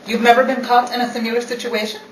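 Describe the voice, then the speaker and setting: A woman's voice: fairly high pitch, fast talker, lightly acted. A woman playing a stern, literal-minded, curtly formal woman in an old-time radio comedy. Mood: deadpan. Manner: curt, formal, deadpan. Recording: roomy, distant-mic recording with faint noise.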